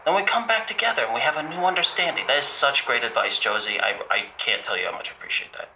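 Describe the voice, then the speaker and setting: An actor playing an earnest, anxious and slightly vain male caller to a comic radio advice show, rambling and halting. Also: medium pitch, lively intonation, sharp tone, low-quality recording.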